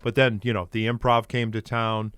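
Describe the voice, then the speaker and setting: A man's voice: lowish voice, level tone, moderate pace, a bit bright, clear, resonant tone, no room sound. An older man, a guest comedian, telling rambling stories in a casual podcast chat with lots of fillers and restarts.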